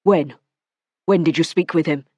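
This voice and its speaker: A man in a cold frenzy, hissing his words out slow and forceful, as a woman reader puts him on. The voice is warm in tone and dry. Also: low voice, slightly rough, dry recording, muffled recording. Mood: cold fury.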